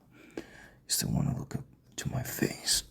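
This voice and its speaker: A man playing a proud, stubborn king in an intimate audio drama, commanding, with breathy, gravelly half-whispers. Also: takes it slowly, a bit bright. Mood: commanding, taunting. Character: proud, stubborn, commanding young king, bitter rival husband.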